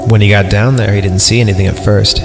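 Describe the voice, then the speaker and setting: A man with a low, gravelly voice hosts a podcast and reads a horror tale aloud. He voices the punctuation marks, trips over words and keeps breaking off into jokey side remarks. Music plays clearly behind him. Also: fairly even intonation, quick pace. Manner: reads punctuation aloud, stumbles and cracks jokes mid-story. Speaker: podcast host. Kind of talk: reading a horror story aloud with joking asides.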